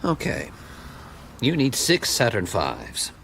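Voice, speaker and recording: An actor playing a cool, condescending presidential science adviser in a radio drama, dry, patronising and deliberate. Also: male voice, medium pitch, huge pitch swings, gravelly, a bit bright, a little hiss or hum, exaggerated voice. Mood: coolly bargaining.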